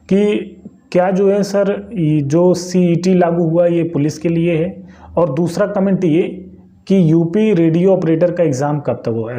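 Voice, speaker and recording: A man's voice: a little high, warm tone. A man from hosting an exam coaching channel, explaining casually to his viewers, repeating phrases and checking that they follow. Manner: chatty, repeats phrases, asks "clear?". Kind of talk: casual explainer talk to camera.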